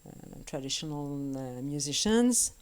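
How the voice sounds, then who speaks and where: A woman with a low, smooth, clear voice speaks slowly over a video call. She grew up in France and talks as a guest in a relaxed conversation. She pauses often to search for words. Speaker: guest vocalist. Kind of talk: casual conversation.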